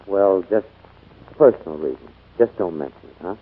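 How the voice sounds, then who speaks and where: A man's voice: low voice, expressive, normal speed, breathy edge. An actor playing a reserved, plain-spoken navy man in 1940s radio drama style. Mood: awkward, subdued. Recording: tinny 1940s radio recording.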